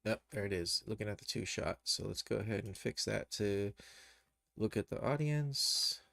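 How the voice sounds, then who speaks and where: A man giving a casual live software tutorial, thinking aloud and muttering to himself as he works. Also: lowish voice, moderate pace, creaky. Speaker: streamer, animation tutor.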